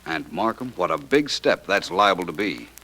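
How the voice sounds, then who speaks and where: A man acting as a shrewd, confident amateur detective in a 1940s radio drama, reasoning smoothly. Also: low-pitched, very animated, moderate pace, bright, forceful tone, full character voice. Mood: calmly reasoning. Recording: noisy vintage radio recording.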